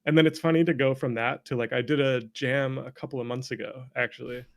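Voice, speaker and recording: A man's voice: mid-range voice, fairly even intonation, fast talker, vocal fry. A man around thirty with a North American accent telling stories casually as an interview guest, self-deprecating, swearing freely and laughing easily. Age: late 20s to early 30s. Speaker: interview guest, game developer. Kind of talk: casual conversational storytelling.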